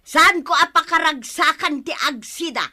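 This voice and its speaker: A sharp-tongued village wife, scolding in a radio comedy style. The voice is very high-pitched, with a forceful, bright edge. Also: female voice, moderate pace, exaggerated voice. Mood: nagging. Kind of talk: Ilocano comedy radio drama acting.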